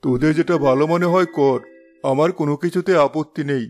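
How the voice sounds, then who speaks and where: A man voicing a plain-spoken family man in an animated moral story. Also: on the high side, brisk, faint music behind, lightly acted. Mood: agreeable.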